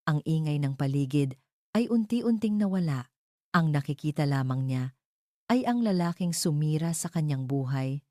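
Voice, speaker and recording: Low, mellow woman storyteller. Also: no room sound, studio quality. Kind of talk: scripted dramatic narration of a romance story.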